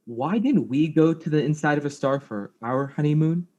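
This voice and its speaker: A man's voice: fairly high pitch, normal speed, warm tone, dull audio, close to an everyday voice. A man playing a naive, affectionate, goofy space butler with a hesitant, earnest manner in an audio comedy. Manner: hesitant, earnest, dim but sweet. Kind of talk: comedic audio drama acting.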